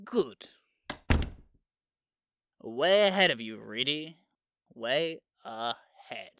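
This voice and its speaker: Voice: medium pitch, expressive, very slow, vocal fry, background noise, full character voice. An actor playing a curt, self-assured senior politician in command, speaking unhurriedly in an audio drama. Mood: smug.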